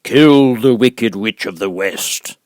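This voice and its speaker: Oz, a booming, imperious giant head. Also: male voice, slow pace, no echo, studio quality. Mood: stern, commanding.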